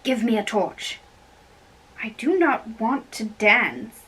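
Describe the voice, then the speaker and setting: A woman playing a sad, lovesick young man in an amateur stage play reading, halting and plaintive, away from the mic. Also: on the high side, expressive, fairly bright tone, breathy edge, distant mic, exaggerated voice, rough recording. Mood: mournful.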